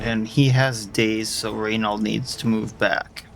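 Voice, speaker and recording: Hoarse man muttering through a game. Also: lowish voice, little pitch movement, takes it slowly. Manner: muttered tactical thinking aloud. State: hoarse, losing his voice. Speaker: gamer. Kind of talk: casual gameplay commentary. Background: game music.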